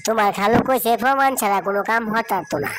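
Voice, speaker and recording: A woman's voice: on the high side, fast talker, lightly acted. A woman playing a practical, worried middle-aged village aunt in a cartoon, speaking firmly with an eastern Bangladeshi accent. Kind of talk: cartoon voice acting. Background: music.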